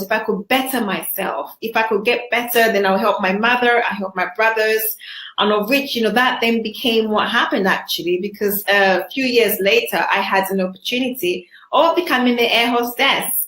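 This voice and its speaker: A woman's voice: fairly high pitch, fairly bright tone, roomy sound, poor audio. A woman from Zambia, a guest telling her life story in an earnest, reflective way, with frequent fillers. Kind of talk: personal testimony in an interview.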